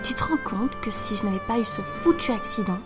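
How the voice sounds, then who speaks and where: A woman's voice: medium pitch, lively intonation, brisk, music in the background, lightly acted. A woman playing a moody, despondent teenage girl in an audio drama, sulky and defensive. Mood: bitter, discouraged. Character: moody, despondent teenage girl who lost her sight. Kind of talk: amateur audio drama acting.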